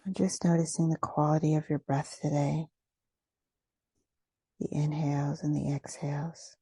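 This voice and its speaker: A woman leading a guided meditation, giving gentle, soothing instructions with unhurried phrasing and pauses. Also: deep voice, level tone, husky, no echo.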